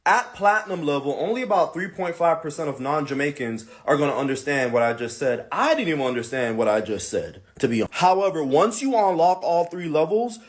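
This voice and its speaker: A man does a comedy bit with a playful, exaggerated, jumpy delivery. His voice runs high for a man and swings wildly up and down. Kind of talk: comedy sketch to camera.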